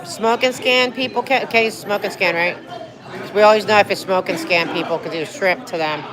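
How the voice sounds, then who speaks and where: A woman's voice: medium pitch, brisk, poor audio. Chatty New Jersey woman livestreaming. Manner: chatty, rambling, reads viewer comments aloud. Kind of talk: casual livestream chat. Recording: crowd chatter in a busy public place.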